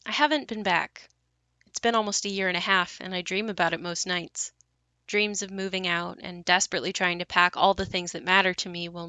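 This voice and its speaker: A bright, forward female voice in a very dry recording, an actress playing a grown daughter haunted by the home she left. She speaks plainly and reflectively, in the naturalistic style of an audio play. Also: on the low side, no room sound. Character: wistful, haunted grown daughter revisiting her ruined childhood home.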